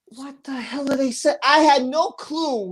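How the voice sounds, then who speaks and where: A woman with a somewhat high voice hosts a live stream over a video call. She sounds enthusiastic, laughs often and keeps thanking her viewers between questions. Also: unhurried. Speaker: host. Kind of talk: casual chat on a live stream.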